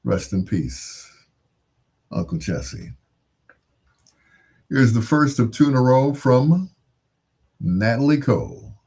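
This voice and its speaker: Slow, mellow, husky American man. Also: mid-range voice, very animated. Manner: musing, asks rhetorical questions. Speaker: radio-style host. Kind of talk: casual rambling monologue.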